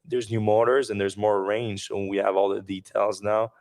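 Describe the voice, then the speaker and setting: Low, flat-voiced man on a podcast. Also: moderate pace. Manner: rambling, many fillers and restarts. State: losing his voice. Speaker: podcast host. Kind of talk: casual news discussion podcast.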